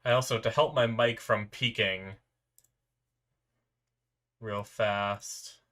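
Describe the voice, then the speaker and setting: A man's voice: on the low side, steady tone, slow, fairly bright tone. Congested sick man hosting a reaction video. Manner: rambling, frequent filler restarts. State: sore throat. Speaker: anime reaction host. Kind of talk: casual reaction commentary. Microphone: desk mic with noise filter.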